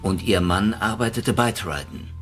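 FBI agent, low-pitched, forceful, polite, insistent, probing, radio thriller. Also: male voice, measured pace, soft background music. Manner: probing, businesslike.

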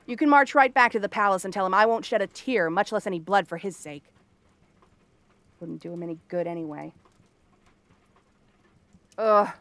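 A woman performs a cursed princess living as a frog girl, in an ASMR fantasy drama. She is cranky and bratty, with a theatrical, haughty delivery. Her voice sits at a medium pitch and leans on sarcasm. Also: normal speed, long pauses, fairly bright tone, close to an everyday voice. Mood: scornful. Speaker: voice actress. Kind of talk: ASMR fantasy roleplay monologue.

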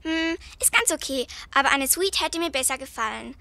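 A woman's voice: sits high, animated, moderate pace, pushed and bright, slight background noise, exaggerated voice. A young woman acting a blunt, nosy girl detective in an amateur radio play. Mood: eager, picky.

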